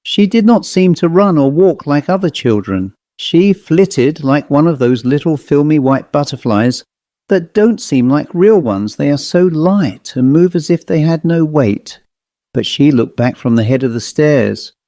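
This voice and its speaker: Mellow, dry-recorded man reading a story. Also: fairly high pitch, animated, unhurried, dry recording. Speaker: audiobook narrator. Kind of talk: scripted narration of a first-person ghost story.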